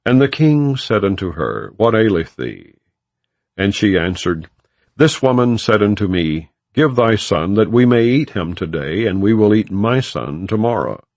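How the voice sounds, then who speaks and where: A man narrating an audiobook of scripture in a solemn, measured, formal, declamatory way. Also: lowish voice, big pitch swings, darkish tone, no echo.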